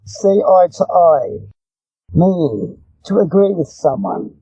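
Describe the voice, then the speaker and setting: A man reading English lesson passages and idioms aloud from a script, his voice husky and creaky. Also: sits high, very animated, slow pace, dark tone, no echo, highs cut off.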